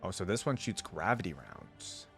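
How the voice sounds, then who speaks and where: A man's voice: low-pitched, big pitch swings, normal speed, vocal fry, soft background music. A man hosting a reaction video, commenting casually, repeating and musing on what he has just heard.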